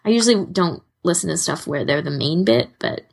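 A woman's voice: on the low side, expressive, fairly quick, gravelly, husky. A woman co-hosting a podcast in casual fan chat, rambling a little and correcting herself as she goes. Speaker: podcast co-host.

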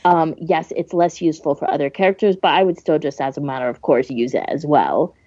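A woman's voice: sits low, a little fast, warm tone, dry recording. A woman co-hosting a podcast in casual, emphatic conversation. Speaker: podcast co-host. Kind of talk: casual chat. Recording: dull lo-fi audio, dry.

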